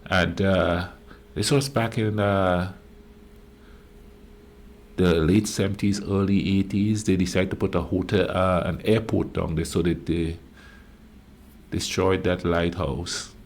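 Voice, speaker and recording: A man in his fifties from the Caribbean, reacting to a travel video in a slow, musing way with drawn-out fillers. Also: deep voice, fairly even intonation. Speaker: reaction channel host. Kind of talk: casual reaction commentary.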